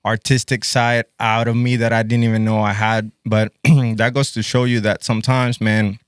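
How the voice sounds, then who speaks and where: Smooth-voiced, laid-back man from Guatemala. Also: on the low side, little pitch movement, normal speed, no echo, clean studio sound. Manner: laid-back, says "bro" and "man" constantly. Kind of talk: casual podcast chat.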